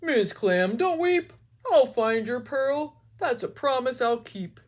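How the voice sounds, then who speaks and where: A nervous but earnest male fish speaks in a loud, bright, sing-song voice, as a woman puts him on. He sounds pitched well above an ordinary speaking voice, like a storybook hero. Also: sing-song, takes it slowly, full character voice. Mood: earnest, fearful.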